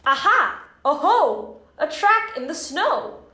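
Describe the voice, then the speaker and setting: Woman as an eager, high-pitched little monster girl. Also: sing-song, takes it slowly, sharp tone, exaggerated voice. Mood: excited. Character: curious brave young female monster child, eager and bold. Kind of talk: children's storybook read-aloud. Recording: roomy echo.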